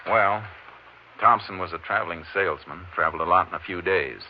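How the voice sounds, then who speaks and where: An actor playing a steady, methodical Texas Ranger investigator in a terse, measured way, in 1950s radio drama style. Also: male voice, deep voice, close to an everyday voice. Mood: pondering, deliberate. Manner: terse, measured, matter-of-fact. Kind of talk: 1950s radio western detective drama acting. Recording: dull, noisy old 1950s radio recording.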